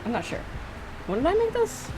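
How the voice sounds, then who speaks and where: A young woman with a very animated, swinging delivery, talking casually as she reacts to a game. She is self-mocking and repeats herself often. The recording carries clear background noise. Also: very high-pitched, brisk. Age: young adult. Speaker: gaming reaction video creator. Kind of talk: casual reaction commentary with lines read aloud.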